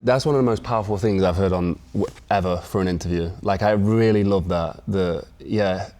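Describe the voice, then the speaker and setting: A man's voice: sits low, normal speed, darkish tone, a little hiss or hum. A man hosting an interview, asking loosely phrased questions with enthusiasm. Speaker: interview host. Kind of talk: conversational interview.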